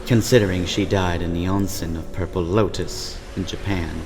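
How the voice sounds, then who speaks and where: A man's voice: sits low, steady tone, normal speed. A man playing a callous, sly vampire operative in a fantasy audio drama, talking conversationally. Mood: callous amusement. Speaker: voice actor. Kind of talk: scripted fantasy character dialogue. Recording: clearly noisy background hum.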